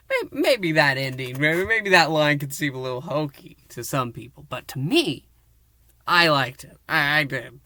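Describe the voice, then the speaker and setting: A man's voice: fairly high pitch, bright, forceful tone, no echo. A man giving a casual solo review and recap, rambling with repeated fillers and restarts. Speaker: podcast reviewer.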